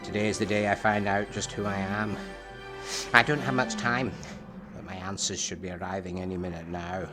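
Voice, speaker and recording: A man acting a brooding time-travelling alien who serves as his own therapist, speaking in a solemn, deliberate way in an audio drama. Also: low-pitched, steady tone, close to an everyday voice. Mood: brooding, determined. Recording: music under the voice. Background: music.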